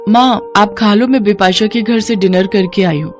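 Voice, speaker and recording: A woman's voice: mid-range voice, normal speed, soft background music, close to an everyday voice. A woman voicing a humble, poor college girl in a cartoon story, polite, earnest and easily awed.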